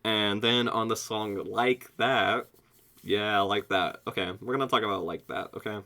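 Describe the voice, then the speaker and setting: A young American man giving a casual, excitable album review, slangy and sweary, saying "like" constantly. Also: lowish voice, moderate pace, gravelly. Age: young adult. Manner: excitable, slangy, heavy use of "like", frequent swearing. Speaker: music reviewer.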